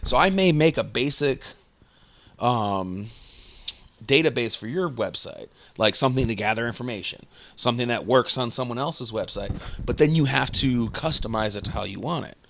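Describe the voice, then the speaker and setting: A man's voice: medium pitch, lively intonation, normal speed, gravelly, a bit bright. A man co-hosting a podcast, chatting casually and rambling with many fillers and restarts. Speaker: podcast co-host. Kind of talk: casual chat.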